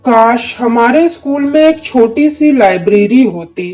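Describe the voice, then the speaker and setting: A performer voicing a kind male village headmaster with a gentle, paternal manner in a scripted moral story. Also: mid-range voice, expressive, unhurried, muffled recording, natural voice. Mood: regretful. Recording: music under the voice.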